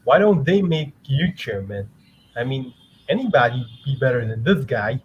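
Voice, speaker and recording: A man's voice: medium pitch, very animated, normal speed, lightly acted. Surly superhero read by a man, dull audio. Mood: sardonic. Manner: blunt, sardonic. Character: surly, sarcastic superhero heckler. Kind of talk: amateur comic-book dramatic reading. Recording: dull audio, faint background noise.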